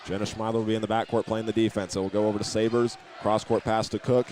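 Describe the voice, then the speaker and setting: A man calling live high school basketball play-by-play as a broadcaster from inside a gym. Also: level tone, quick pace, a little mellow. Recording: faint gym noise behind the voice.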